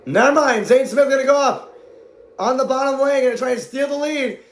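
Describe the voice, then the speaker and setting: A man calling a stock car race live as an amateur commentator. He talks excitably, often shouts, and his voice is going hoarse from the strain. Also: very high-pitched, a little fast, echoey room.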